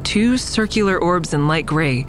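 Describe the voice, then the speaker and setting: An actress playing a reflective, tender woman who narrates an audio drama in measured, descriptive first person. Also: fairly low pitch, lively intonation, faint music behind. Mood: uneasy, suspenseful. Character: reflective woman admiring a friend's mural, tender. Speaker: narrator and lead character.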